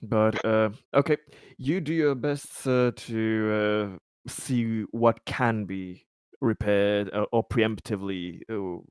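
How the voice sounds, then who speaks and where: A man takes the part of a formal leader in a tabletop game. He speaks slowly and with hesitation, choosing measured words. His voice sits a little low, recorded very dry. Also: darkish tone, smooth, no echo. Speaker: player in a tabletop roleplaying game. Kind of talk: in-character roleplay as a formal leader.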